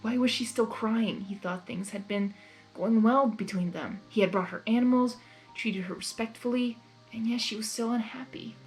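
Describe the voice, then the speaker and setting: A woman's voice: fairly high pitch, normal speed, soft background music, low-quality recording. A woman reading a story aloud expressively, with informal, reactive asides. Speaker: story reader. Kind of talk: expressive fan fiction read-aloud with chatty asides.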